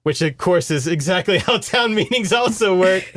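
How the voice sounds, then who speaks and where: A man's voice: high-pitched, animated, normal speed, fairly bright tone, no room sound, studio quality. A man with an American accent chatting casually and wryly as he recaps a book as a podcast co-host. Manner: wry, informal, sarcastic.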